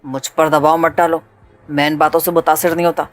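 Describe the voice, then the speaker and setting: A woman's voice: deep voice, faint music behind, voice pushed down, natural voice. Woman voicing a mocking ruthless pirate chief. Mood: cold mocking. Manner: mocking, calm, menacing. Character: cold ruthless drunk male pirate leader.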